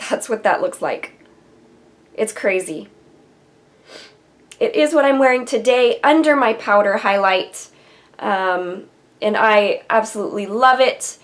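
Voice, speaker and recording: A woman's voice: medium pitch, unhurried, long pauses. A young woman with a nasal voice from a cold, chatting casually to the camera about makeup in her bedroom, with frequent ums. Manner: chatty and enthusiastic, many ums. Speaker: beauty vlogger. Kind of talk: casual product review to camera. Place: bedroom with vanity and shelves, indoors.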